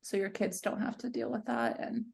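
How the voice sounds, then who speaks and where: Gravelly-voiced woman podcast host. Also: mid-range voice, fairly even intonation, moderate pace. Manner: warm and affirming, chatty. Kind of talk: casual interview hosting.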